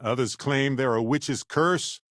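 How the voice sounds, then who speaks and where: A man playing a genial, wry, curious middle-aged space explorer in a video game, speaking in a conversational, expressive way. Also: medium pitch, measured pace, dry recording, clean studio sound, lightly acted. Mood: reflective, musing. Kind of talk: video game character dialogue.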